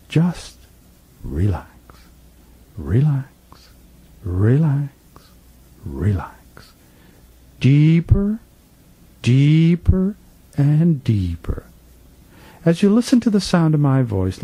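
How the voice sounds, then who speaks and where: A male meditation teacher speaks slowly in a deeply mellow, relaxed voice. His pitch moves freely, with phrases trailing off at the end. He gives soothing, repetitive instructions as a guide through relaxation. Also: breathy edge, no echo. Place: professional studio.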